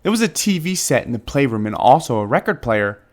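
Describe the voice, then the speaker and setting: A man narrates a children's storybook. His medium-pitched voice moves up and down freely, and he leans on key words with dramatic emphasis. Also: sing-song, a little fast. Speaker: children's book reader. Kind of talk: expressive scripted storybook narration.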